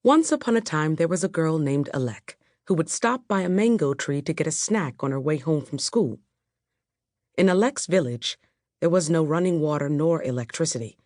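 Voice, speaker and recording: A woman narrating a children's audiobook from a script, telling the story in a measured, clear way. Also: low-pitched, expressive, darkish tone, no echo, studio quality.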